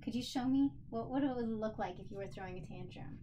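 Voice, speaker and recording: A woman's voice: a little high, fast, highs cut off, heavy background noise. A woman teaching children in an encouraging, simply worded way, like a teacher leading a lesson and read-aloud. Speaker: special education teacher.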